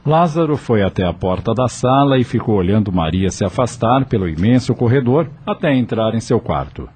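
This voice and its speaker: A man narrates a radio soap from a script in a low, mellow voice. His pitch moves in lively swings and his tone is slightly husky, over faint music. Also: moderate pace.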